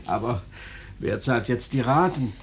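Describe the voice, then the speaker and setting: A man's voice: medium pitch, animated, measured pace. A man playing a wry, hard-up beggar in a 1950s radio play, plaintive and sardonic. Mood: wry complaint. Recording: noisy old radio recording.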